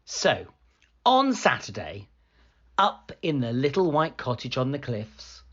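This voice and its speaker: A woman narrating a children's picture book in an expressive read-aloud style. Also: a bass voice, takes it slowly, slightly rough, highs cut off. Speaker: storybook reader.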